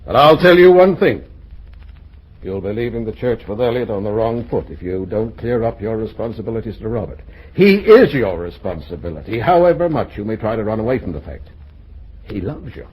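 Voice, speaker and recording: An actor playing an earnest, gently reproachful middle-aged father in an old-time radio drama. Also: lowish voice, big pitch swings, brisk, husky, rough recording.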